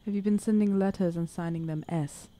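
A woman's voice: lowish voice, steady tone, brisk, mellow. A woman playing a tabletop game, speaking casually in and out of character into a close table mic. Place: room, group around a table. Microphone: table microphone on arm, close.

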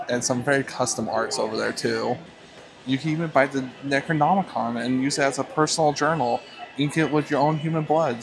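A man's voice: mid-range voice, creaky. A man casually vlogging as he walks around an indoor expo, upbeat and easily delighted, with joking asides. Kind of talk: casual walkthrough vlog. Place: busy indoor expo hall. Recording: crowd chatter behind.

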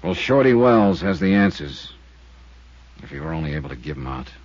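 A man's voice: a bass voice, very animated, brisk, a little mellow, dry recording, heavily acted. An actor playing a terse, world-weary male insurance investigator who also narrates, in 1950s radio drama style. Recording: muffled old radio broadcast with music.

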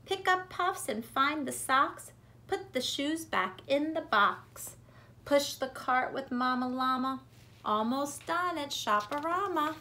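Woman, forties, high voice, slow rhythmic storybook reading for young children, noisy home recording. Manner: expressive, rhythmic, deliberate delivery for young children. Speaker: preschool teacher reading aloud. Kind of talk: children's picture book read-aloud. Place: bedroom at home.

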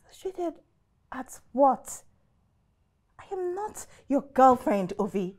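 A woman's voice: high voice, sing-song, slow pace, darkish tone, heavily acted. A young woman, acting a guarded, defensive love interest in a film drama.

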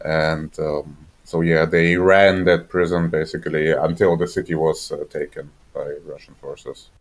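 A man co-hosting a podcast, explaining things to listeners in measured phrases with pauses. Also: a bass voice, little pitch movement. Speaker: podcast co-host.

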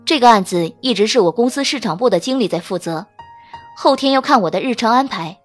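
A proud, sharp-tongued young businesswoman, played by a woman in an audiobook. Her high, lively voice stays crisp and pointed over faint music. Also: brisk. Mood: cool, cutting.

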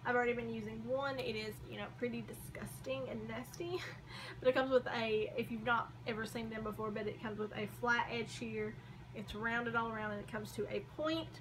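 A young woman in her twenties rambling casually through a makeup haul, her voice going hoarse. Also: fairly high pitch, steady tone, brisk, noisy background. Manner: chatty, rambling, frequent likes and ums. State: losing her voice. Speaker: beauty vlogger. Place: small bedroom corner by a door.

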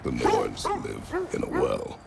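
An actor playing a stern, battle-worn warrior father in a video game, speaking tersely and gravely in a gravelly voice. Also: extremely low pitch, wildly swooping pitch, slow pace, heavy background noise, heavily acted. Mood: wary.

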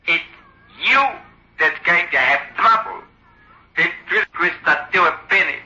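An actor playing a sinister living ventriloquist's dummy in an old radio horror play, defiant, needling and menacing. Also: male voice, high voice, measured pace, pushed and bright, heavily acted. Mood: defiant, taunting. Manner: taunting, needling. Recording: dull lo-fi old radio recording.

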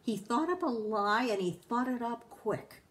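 Older lady in her 60s doing storytime, slow expressive reading, big pauses, recorded at home. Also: on the high side. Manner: deliberate, with emphatic pauses. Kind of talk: expressive read-aloud of a children's storybook. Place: living room at home.

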